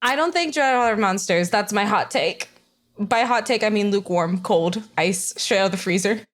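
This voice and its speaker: A woman co-hosting a casual comedic chat, joking and ranting in an animated, sweary way. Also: mid-range voice, normal speed. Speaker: co-host.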